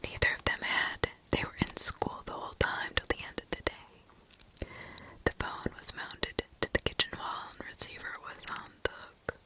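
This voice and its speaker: A woman reads scary stories in a hushed, breathy ASMR whisper with deliberate pauses, close to the microphone. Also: low-quality recording. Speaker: ASMR host. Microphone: close ASMR microphone, ear to ear.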